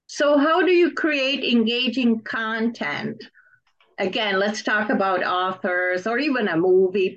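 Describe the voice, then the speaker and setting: Woman interviewer on a muffled recording. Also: medium pitch. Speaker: interviewer of authors, host. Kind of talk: conversational interview questions. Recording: muffled lo-fi sound.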